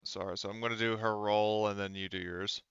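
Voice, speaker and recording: A man running a tabletop role-playing game, narrating casually as game master in a smooth, clear voice. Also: lowish voice, little pitch movement, fairly quick, fairly bright tone, no room sound, muffled recording.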